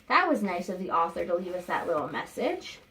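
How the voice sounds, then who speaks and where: A woman teacher reading a picture book aloud to young students, expressive and pausing to think aloud with questions for them. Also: fairly low pitch. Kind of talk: read-aloud lesson with questions to children.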